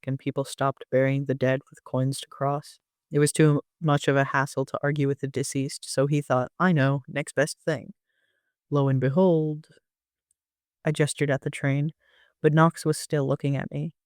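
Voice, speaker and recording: A man narrating a first-person story like an amateur audiobook reader, with an even storytelling delivery. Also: a little high, moderate pace, clean tone, no echo, studio quality.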